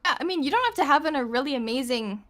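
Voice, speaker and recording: A woman with a slightly high voice talks as a guest on a casual chat show. She is giggly, blunt and teasing, and faint music plays behind her. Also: animated, racing, a bit bright. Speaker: guest streamer.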